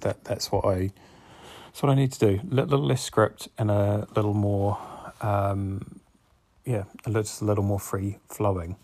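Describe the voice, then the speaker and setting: Low, flat-voiced New Zealand man. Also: normal speed, no room sound. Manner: earnest, thinking aloud, hesitant. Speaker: podcast host, fitness coach. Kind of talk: unscripted solo podcast musing.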